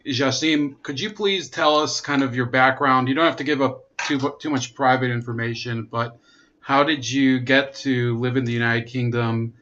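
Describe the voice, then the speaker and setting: A man's voice: mid-range voice, steady tone, moderate pace, sharp tone, dull audio. A man interviewing a guest, asking long, rambling questions. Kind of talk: conversational interview.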